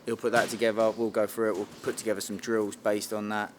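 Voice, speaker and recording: A man's voice: lowish voice, flat delivery, fast. A man, a boxing coach explaining tactics in a matter-of-fact, analytical way with short phrases. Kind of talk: interview explanation of training and tactics. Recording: loud background noise, on location.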